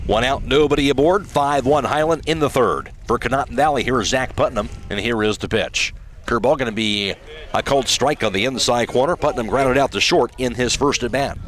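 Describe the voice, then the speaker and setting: Give a man's mid-range voice working as a radio baseball announcer. He calls the game live, pitch by pitch, in short clipped fragments. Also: a bit bright, a little hiss or hum. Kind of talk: live sports play-by-play commentary. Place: outdoor ballpark broadcast.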